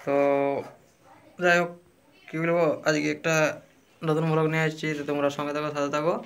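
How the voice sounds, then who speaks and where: A man's voice: a little high, little pitch movement, quick pace, smooth. A man narrating a casual vlog to viewers as a working electrician, recorded on a phone at a wiring job. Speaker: vlogger and electrician. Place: house room under wiring work. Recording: loud power-tool noise behind, phone recording. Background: power tools cutting walls.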